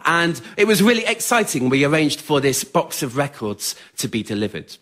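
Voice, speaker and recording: A man's voice: fairly high pitch, big pitch swings, normal speed, a bit bright. A man performing scripted, autobiographical comic storytelling to a live audience in a theatre. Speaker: writer-performer of a one-man spoken word show. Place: on stage in a radio theatre with a laughing audience.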